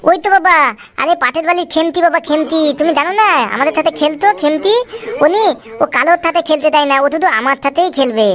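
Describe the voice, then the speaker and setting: A woman's voice: high-pitched, fast, a bit bright. A woman voicing a whiny, eager little boy in a comic cartoon. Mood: excited, eager. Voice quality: babyish lisp. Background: music.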